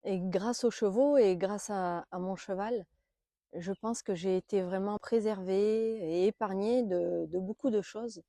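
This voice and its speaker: A woman born in Belgium and raised in southern France tells her life story in a hesitant way, pausing often with fillers and growing soft and quiet. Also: medium pitch, no echo, clean studio sound. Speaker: naturopath interviewed about her life. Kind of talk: reflective personal storytelling.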